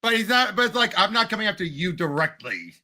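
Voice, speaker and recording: Man, high voice, sharp forceful tone, creaky edges, excitable casual podcast co-host, emphatic delivery. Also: fast. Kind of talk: casual excited movie discussion.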